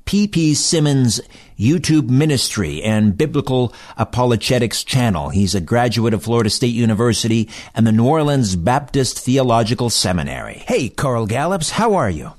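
Measured male radio host. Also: medium pitch, very animated, a little mellow, dry recording, clean studio sound. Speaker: radio talk show host. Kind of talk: radio interview.

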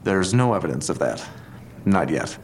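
An actor playing a skeptical police detective in an audio drama, talking in a terse, dry, deliberate way. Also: male voice, sits low, big pitch swings, gravelly, a little hiss or hum, full character voice. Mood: measured, businesslike.

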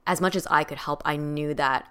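Woman, very low pitch, soft-spoken, reflective, casual chat. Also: a little fast, smooth. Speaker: podcast co-host.

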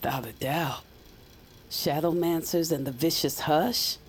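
An actress playing a weary, ominous woman mystic in audio fiction, grave and deliberate, often hushed to a whisper. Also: deep voice, slight background noise. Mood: grave, wistful.

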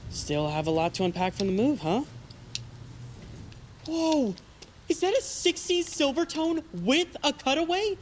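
A woman's voice: high-pitched, animated, unhurried, long pauses, a little hiss or hum. A woman in an audio drama playing a friendly, awkward, eager teenage boy, earnest and bumbling. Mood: eager, impressed. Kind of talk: naturalistic audio drama acting.

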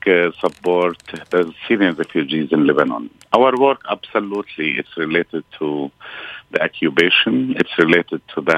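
A man from Palestine, a guest speaking by phone on a radio show in a halting way, with many pauses. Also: sits low, fairly bright tone, no room sound. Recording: dull lo-fi phone line.

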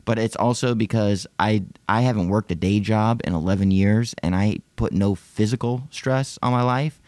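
A man's voice: fairly low pitch, a little mellow, dry recording. A man in his late thirties, rambling and profane in casual self-deprecating podcast chat. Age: 30s. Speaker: podcast host.

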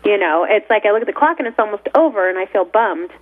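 A woman's voice: mid-range voice, animated, quick pace. A woman co-hosting a radio talk show, chatty and often laughing as she trails through her sentences. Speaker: radio co-host. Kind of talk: casual studio chat. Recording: narrow phone-like radio sound.

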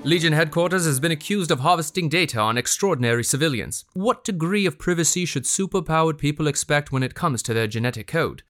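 Formal radio news anchor guy, slightly high voice, measured, audio drama. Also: animated, fairly bright tone, faint music behind. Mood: composed. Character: composed male radio news anchor hosting a panel. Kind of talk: broadcast news panel moderation.

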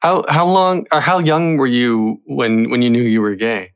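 A male podcast host with a medium-pitched voice. He talks in a casual, chatty way, asking questions and bantering easily with his guest. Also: fairly even intonation, moderate pace, smooth. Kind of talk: casual interview.

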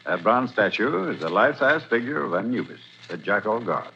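A man's voice: on the low side, very animated, a little fast, creaky, pushed and bright, heavily acted. An actor playing an earnest, scholarly male archaeology professor who explains things in a 1940s radio drama. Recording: dull 1940s radio recording, faint hiss.

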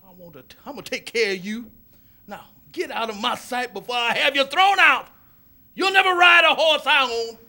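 A man's voice: very high-pitched, moderate pace, pushed and bright, full character voice. An actor playing a hot-tempered, domineering racehorse owner in a radio drama, bossy and emphatic in his delivery. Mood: angry. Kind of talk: old-time radio drama acting.

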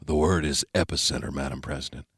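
A man voicing a blunt male presidential adviser, speaking low and confiding. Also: steady tone, quick pace, a bit bright, breathy edge, dry recording, clean studio sound, heavily acted.

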